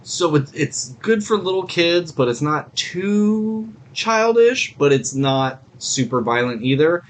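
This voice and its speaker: A man's voice: fairly high pitch, expressive, slow pace. A man co-hosting a podcast, giving his reviews in casual, informal chat. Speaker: podcast co-host reviewing movies and shows.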